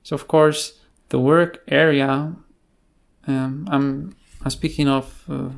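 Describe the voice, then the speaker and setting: A man presents a technical tutorial in an unscripted way. His pace is slow and his delivery halting, broken by frequent filled pauses. The voice has a noticeable gravelly fry and sits at a middling pitch. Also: fairly even intonation. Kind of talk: screen-share tutorial, unscripted explanation.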